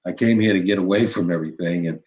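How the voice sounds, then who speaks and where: Deep-voiced older man recounting his career. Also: darkish tone, lo-fi sound. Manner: unhurried, with frequent "you know" and restarts. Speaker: former college basketball head coach, guest. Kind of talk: reflective interview storytelling.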